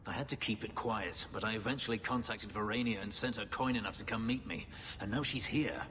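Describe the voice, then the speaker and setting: A man acting a low-voiced, brooding elf fugitive. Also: steady tone, fairly quick, fairly bright tone, natural voice. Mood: worried, guarded. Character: brooding, guarded male elf, escaped slave. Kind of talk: video game voice acting. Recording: faint background noise.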